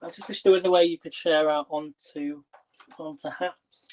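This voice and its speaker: A slightly high-voiced man chats casually to viewers while tidying his kitchen, his voice wearing thin. He rambles and restarts his questions. The sound is dull and lo-fi, like livestream audio. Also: steady tone, moderate pace, background noise. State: losing his voice. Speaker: livestream host. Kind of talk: casual live-stream chat.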